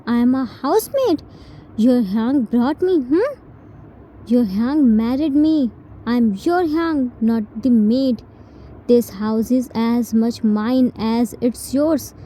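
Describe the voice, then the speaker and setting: A woman's voice: a little high, expressive, takes it slowly, few pauses, mellow, slight background noise, poor audio. A woman voicing a stern, hot-tempered young man. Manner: scolding, commanding.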